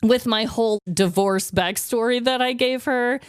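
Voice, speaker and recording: A woman's voice: a little high, moderate pace, smooth, no echo, clean studio sound. A woman co-hosting a podcast in casual, playful comedic chat. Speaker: podcast co-host.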